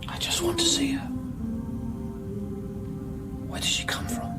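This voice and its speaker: An actor playing a sober, suspicious investigator in a radio play, pressing with short, clipped questions. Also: male voice, extremely high pitch, measured pace, in a whisper, loud music behind the voice. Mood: tense, uneasy. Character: probing, insistent male investigator, sober and suspicious. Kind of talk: radio drama acting.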